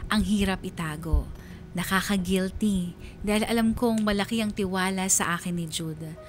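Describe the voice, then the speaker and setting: A woman's voice: mid-range voice, normal speed, slight background noise, natural voice. Woman narrating as wistful, confiding young letter writer. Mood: guilty, longing. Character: wistful young woman confessing secret love for best friend's girlfriend. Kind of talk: first-person radio drama letter narration.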